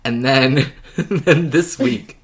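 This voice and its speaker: A woman with a strikingly low-pitched voice co-hosts a podcast. She speaks informally and conversationally, as in a casual chat between fans. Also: very animated, very slow, creaky, husky. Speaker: podcast co-host.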